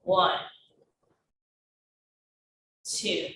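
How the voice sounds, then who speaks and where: Slow-counting woman instructor in echoey room. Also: bright, forceful tone. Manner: slow, encouraging counting cues. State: stuffy from a cold. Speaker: fitness instructor. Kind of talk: guided exercise class with counting. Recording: very echoey, distant, faint noise.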